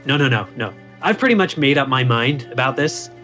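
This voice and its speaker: Casting: actor as excitable, pushy CEO for audio comedy, male, mid-pitched, insistent sales-pitch delivery. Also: brisk, soft background music, natural voice. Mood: eager, insistent. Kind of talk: comedic audio drama acting.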